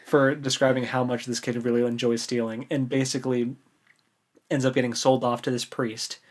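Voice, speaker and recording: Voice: mid-range voice, little pitch movement, normal speed. Casual, chatty American book podcaster. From United States. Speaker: podcast co-host.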